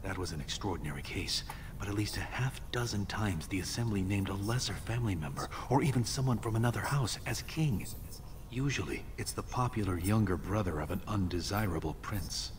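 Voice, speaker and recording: An actor playing an earnest, smoothly scheming younger dwarven prince in a fantasy video game, deliberate and conspiratorial. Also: male voice, fairly low pitch, animated, a little hiss or hum, close to an everyday voice. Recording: game dialogue under music.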